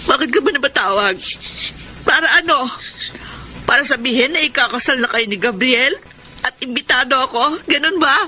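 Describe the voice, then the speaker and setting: A woman's voice: sits high, expressive, normal speed, pauses often, pushed and bright, slight background noise, full character voice. An actress playing a heartbroken young woman in a Filipino radio drama, tearful and melodramatic. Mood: bitter. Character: heartbroken young woman betrayed by boyfriend and best friend.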